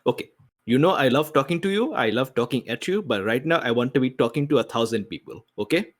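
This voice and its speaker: A man voicing an excitable fight commentator in a tabletop game, insistent and repeating himself for emphasis. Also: mid-range voice, quick pace, close to an everyday voice. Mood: urgent, insistent. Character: excitable fight commentator pleading for a huge audience.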